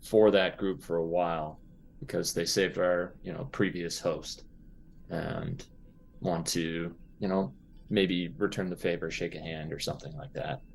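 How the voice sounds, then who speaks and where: A man with a deep voice talks casually as a player in a roleplaying game. He improvises as he goes, in halting and deliberate phrases. Light noise sits in the recording. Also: fairly even intonation, vocal fry.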